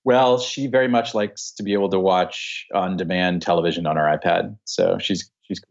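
A man's voice: low-pitched, fairly even intonation, normal speed, gravelly, lo-fi sound. A middle-aged man, a guest lawyer, chatting in a laid-back way, swearing casually and laughing readily. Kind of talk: casual podcast chat.

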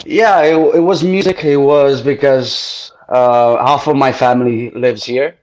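A man's voice: medium pitch, fairly even intonation, measured pace, darkish tone, rough recording. A man from Italy, a native Italian speaker, talking as a guest musician in an interview, hesitant and full of fillers as he searches for words. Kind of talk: casual interview.

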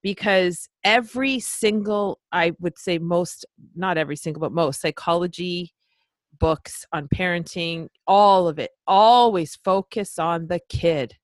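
Woman podcast host, lowish voice, chatty and excitable, dry close sound. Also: a little slow, dry recording. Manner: chatty, excitable, swears casually. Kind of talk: casual interview chat.

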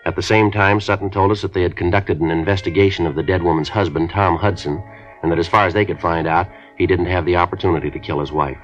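A terse police detective, played by a man, narrates in a low, clipped, matter-of-fact voice and moves along briskly. He sounds like a 1950s radio drama lead. The audio is muffled with faint music behind him. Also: steady tone, lightly acted. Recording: muffled old radio broadcast.

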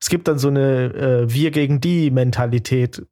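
A man's voice: medium pitch, normal speed, mellow. A man from southern Germany discussing things casually and with opinions as a podcast co-host. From southern Germany, Swabia.

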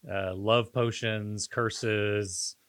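Deep-voiced man chatting, halting. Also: steady tone, a bit bright, clean tone. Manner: halting, searching for words. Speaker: podcast co-host. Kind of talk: casual reflective conversation.

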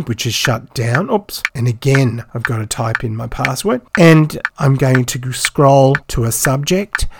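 A hoarse man rambles through an unscripted solo podcast, full of ums and self-deprecating jokes. His voice sits at an ordinary middle pitch, recorded dry and close in a home room. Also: no echo. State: hoarse, croaky voice. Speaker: podcast host. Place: home, isolating. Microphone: phone.

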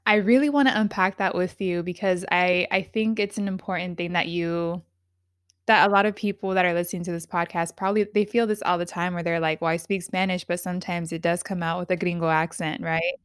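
Effusive woman host, smooth voice. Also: fairly low pitch, steady tone, a little fast, dry recording, clean studio sound. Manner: effusive, enthusiastic, rambling. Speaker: podcast host. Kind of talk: casual podcast interview.

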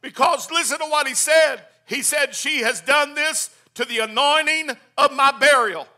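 A man preaching a Pentecostal sermon, swinging from hushed, quick asides to shouted hallelujahs. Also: very high voice. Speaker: pastor. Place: church.

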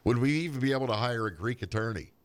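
A man's voice: medium pitch, fast, background noise. A man co-hosting a podcast in casual chat, joking and tossing out ideas. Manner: joking, idea-tossing banter. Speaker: podcast co-host.

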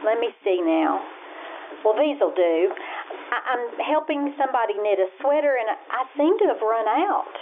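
A woman's voice: fairly high pitch, animated, normal speed. A woman playing an outspoken, folksy small-town customer in an old-time radio show. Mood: friendly, chatty. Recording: muffled lo-fi radio-style stage recording, hiss.

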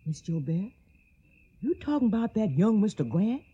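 A woman's voice: medium pitch, sing-song, normal speed, warm tone, slightly rough, lo-fi sound. An actress playing a protective, motherly older household mammy with a Southern US accent in a radio drama. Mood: scolding concern. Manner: scolding, motherly, folksy.

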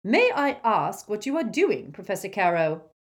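A woman's voice: mid-range voice, big pitch swings, normal speed, voice pushed up, natural voice. A woman voicing a talking eagle door knocker with a musical, polite way of asking riddles. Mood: courteous.

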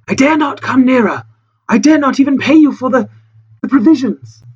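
A narrator voicing a desperate, starving old castaway man who pleads haltingly in formal, old-fashioned words. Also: medium pitch, breathy edge, muffled recording, voice pushed up, natural voice. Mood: halting, apologetic.

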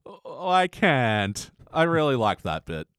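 A man's voice: mid-range voice, very animated, slow, fairly bright tone, clean tone, dry recording, studio quality. A man hosting a casual review podcast about a TV cartoon, chatting in a sweary, bantering way. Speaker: podcast host.

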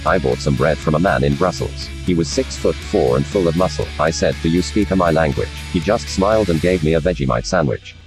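A man from Australia putting on a deadpan, stiff mock-Australian bloke voice on a podcast video call. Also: rumbling low, moderate pace, gravelly, few pauses, over music, heavily acted, low-quality recording. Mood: deadpan joking. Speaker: podcast co-host.

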